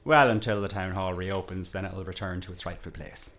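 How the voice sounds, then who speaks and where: A man acts out a village barkeep for an audio drama. His voice sits on the low side, and he talks in a folksy, wry way. He is chatty and politely nosy, always ready to tease. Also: fairly even intonation, a little fast, fairly bright tone. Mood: wry, confiding. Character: chatty, wry village pub landlord with a teasing streak.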